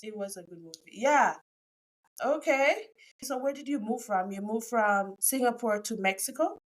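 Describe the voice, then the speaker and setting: A woman's voice: fairly high pitch, moderate pace. A woman hosting a podcast interview, chatty and effusive, often trailing off mid-sentence. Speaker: podcast host. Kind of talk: casual interview chat.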